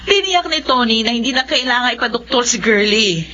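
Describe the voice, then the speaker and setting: A woman's voice: on the high side, animated, fairly quick, sharp tone, dull audio. A woman with a Filipino accent playing a stubborn, devout wife and mother, speaking emphatically in radio drama. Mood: insistent, defiant. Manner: emphatic, insistent.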